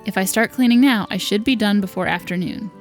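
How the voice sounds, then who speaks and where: A woman's voice: medium pitch, fairly quick, soft background music, lightly acted. A woman voicing a young servant stepdaughter, wry and resentful but patient, reading visual novel lines aloud. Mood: resolute.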